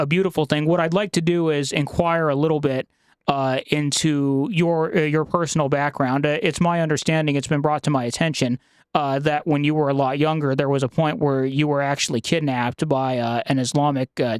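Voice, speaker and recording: A man hosting a podcast, speaking in a formal, wordy and halting way with repeated words. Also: a little high, fairly even intonation, smooth, no echo. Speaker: podcast host. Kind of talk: formal podcast introduction and interviewing.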